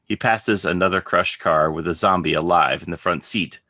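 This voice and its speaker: Deep, bright-voiced male narrator. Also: moderate pace, no room sound, studio quality. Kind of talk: scripted narration of stage directions.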